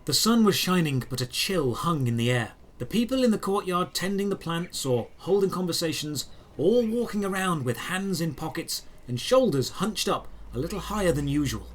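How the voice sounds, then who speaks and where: Higher-voiced man narrating a fantasy adventure. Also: expressive, moderate pace, hardly any pauses. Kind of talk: scripted first-person fantasy story narration.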